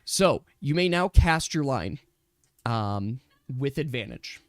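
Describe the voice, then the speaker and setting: A man acting as game master, casually narrating a tabletop game in a halting, thinking-aloud manner. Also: medium pitch, expressive, no echo. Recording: dry close mic with music behind. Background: music.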